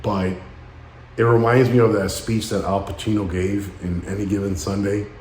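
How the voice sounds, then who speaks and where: A man in his late thirties speaking in a halting, somber and introspective way, as in a personal vlog monologue. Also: on the low side, little pitch movement, a little mellow, roomy sound, a little hiss or hum. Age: late 30s. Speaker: vlogger.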